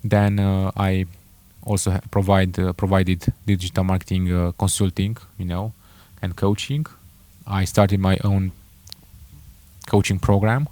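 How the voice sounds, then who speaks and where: Low-voiced Romanian-accented man, halting. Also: flat delivery, dark tone, dry recording. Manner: halting, many fillers and self-corrections. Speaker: entrepreneur vlogger. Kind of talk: casual personal story to camera.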